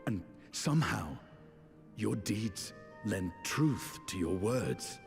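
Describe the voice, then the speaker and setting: A world-weary fantasy game warrior with a low voice. He speaks in grave, measured, formal phrases, stern yet grudgingly admiring. The voice rings with long reverb over clear music. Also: very animated, exaggerated voice. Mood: stern candour. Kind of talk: scripted fantasy game dialogue.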